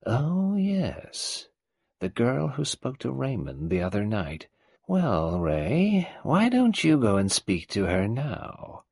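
A man's voice: mid-range voice, wildly swooping pitch, slow pace, gravelly, darkish tone, husky, no room sound, studio quality, voice pushed up, heavily acted. A man voicing a malicious, domineering old mother who taunts her family with a wheezy, venomous edge. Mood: taunting.

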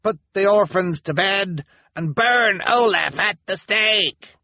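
A man doing a yelling, bloodthirsty townsman. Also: high-pitched, slow pace, no room sound, pitched above the performer's own voice, exaggerated voice. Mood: shouting. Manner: yelling, eager. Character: bloodthirsty townsman shouting from a crowd.

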